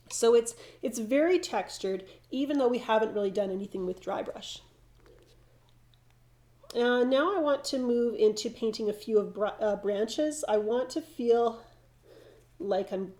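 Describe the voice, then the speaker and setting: Stuffed-up woman teaching watercolor. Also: medium pitch. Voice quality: slightly froggy, nasal. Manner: relaxed, thinking aloud while painting, frequent restarts. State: stuffed up from hay fever. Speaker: watercolor instructor. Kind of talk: live painting demonstration.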